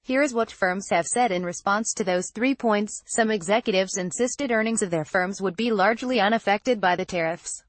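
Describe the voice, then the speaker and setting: A woman's voice: medium pitch, normal speed, few pauses, no echo. Woman reading financial news, dry close sound. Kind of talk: scripted news narration.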